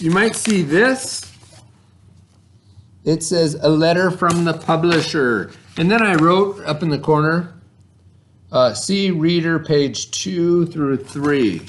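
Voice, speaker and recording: A man's voice: fairly high pitch, noisy background. A man teaching a remote reading lesson to children in an informal, instructional way, carefully sounding out letters and words. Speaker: elementary school teacher.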